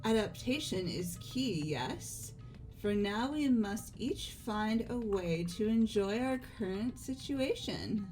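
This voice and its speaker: A woman as a slow, haughty, composed young lady. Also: medium pitch, lively intonation, a little mellow, soft background music, low-quality recording. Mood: calm, condescending. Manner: measured, elegant, condescending. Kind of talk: amateur game character acting.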